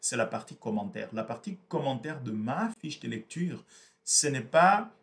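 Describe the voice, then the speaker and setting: Man, native Portuguese speaker, book review talk, halting delivery, repeats words, mid-pitched voice. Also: very animated, a bit bright. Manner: hesitant, repeats words, frequent fillers.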